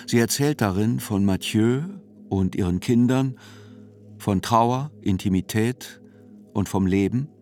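Low-voiced man narrating over music. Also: a little slow, darkish tone. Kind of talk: scripted literary reportage narration for a radio play.

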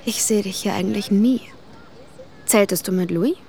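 A young woman playing a curious, forthright, gently teasing teenage girl in a naturalistic audio drama, with a direct, questioning manner. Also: mid-range voice, normal speed, creaky, some chatter behind, close to an everyday voice. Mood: gently probing. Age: young adult. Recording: outdoor ambience, faint traffic noise.

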